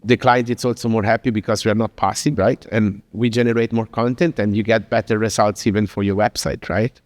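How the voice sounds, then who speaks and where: A man's voice: fairly low pitch, steady tone, a little fast, no echo, in a large hall. A man from Italy with an Italian accent, giving a chatty, informal presentation in English with many fillers and restarts. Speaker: speaker at a business talk. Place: venue in Lisbon.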